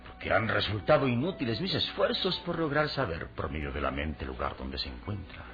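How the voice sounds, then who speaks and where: A man's voice: lowish voice, expressive, brisk, a bit bright. A man acting a noble, brooding adventurer hero in a Latin American radio serial, speaking in grave, formal, dramatic phrases. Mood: anguished, brooding. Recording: dull radio-drama recording with music underneath.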